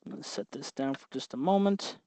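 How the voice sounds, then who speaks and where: A man hosting an informal how-to tutorial, explaining step by step in an unhurried way as he works with his hands. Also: fairly high pitch, dark tone, no room sound. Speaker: host of a DIY electronics tutorial.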